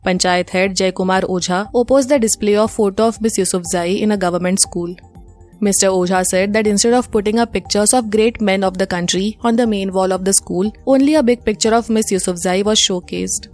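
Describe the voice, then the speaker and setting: A woman's voice: medium pitch, steady tone, fairly quick, a little mellow. Indian woman reading news over music. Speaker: news presenter. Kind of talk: scripted news bulletin reading. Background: music under the voice.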